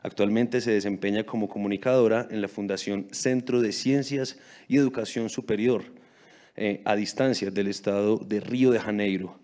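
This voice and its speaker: A man's voice: lowish voice, fairly even intonation, moderate pace, mellow. A man hosting a conference session, reading a formal, prepared introduction of the next speaker. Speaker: event host, moderator. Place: planetarium auditorium.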